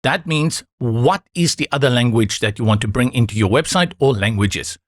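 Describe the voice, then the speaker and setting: A man whose first language is Afrikaans talks viewers through each click of a software setup. His voice sits at an ordinary middle pitch. The recording is close and dry, with no room sound. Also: moderate pace, no room sound. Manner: calm, instructive, reassuring asides. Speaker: software tutorial presenter. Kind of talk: screen-recorded step-by-step tutorial.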